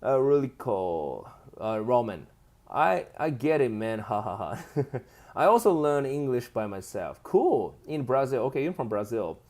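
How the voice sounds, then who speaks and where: Lively Japanese-accented man chatting with viewers. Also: medium pitch, a little slow. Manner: lively, laughs often, reads chat comments aloud. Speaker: live stream host. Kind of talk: casual live stream chat reading viewer comments.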